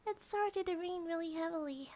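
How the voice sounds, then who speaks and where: A high, sweet-natured young bear-girl wife, acted by a woman in an intimate ASMR roleplay. She is doting and playful, rambling gently and slipping into soft, breathy near-whispers. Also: normal speed, slight background noise. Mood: apologetic, affectionate.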